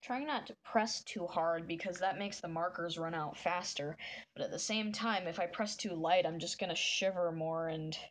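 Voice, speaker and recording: A woman streaming live, chatting with viewers in a soft, meandering way while she draws, with a voice that is deep for a woman. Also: normal speed. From northern United States. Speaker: livestreamer. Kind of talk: casual chat while drawing on a livestream.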